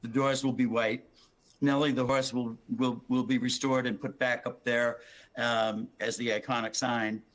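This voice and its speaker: A hoarse man presenting his building plan to a town planning board, rambling with many restarts and fillers. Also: mid-range voice, flat delivery, a little slow. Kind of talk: public meeting testimony.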